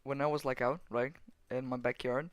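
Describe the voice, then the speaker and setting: A teenage boy from Slovenia, chatting casually on a podcast with halting restarts. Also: medium pitch, level tone, dry recording, highs cut off. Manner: halting, restarts often, laughs easily. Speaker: podcast co-host. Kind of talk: casual chat.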